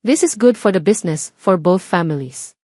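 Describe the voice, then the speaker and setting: A woman's voice: fairly low pitch, expressive, normal speed, dark tone, no room sound. Woman as rich mother, doting, business-minded, code-switching, dry sound, audio story. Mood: delighted. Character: wealthy society mother, pleased and business-minded.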